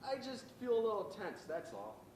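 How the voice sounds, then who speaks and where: A man's voice: wildly swooping pitch, normal speed, darkish tone. A young man playing an awkward, nervous teenage boy on a date in an amateur stage play. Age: young adult. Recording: distant echoey stage recording, rumbling hiss.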